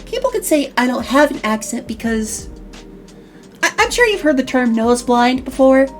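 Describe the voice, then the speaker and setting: A high-voiced woman with a slight Chicago accent hosts a casual online chat show. She talks in a chatty, animated way and restarts her words often. Soft music plays faintly underneath. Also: normal speed. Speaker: podcast host.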